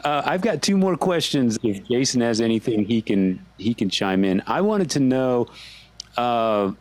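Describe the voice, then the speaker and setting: A man hosting a podcast interview, speaking haltingly with frequent fillers. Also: medium pitch, animated, darkish tone, dry recording. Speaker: podcast host. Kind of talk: casual interview chat.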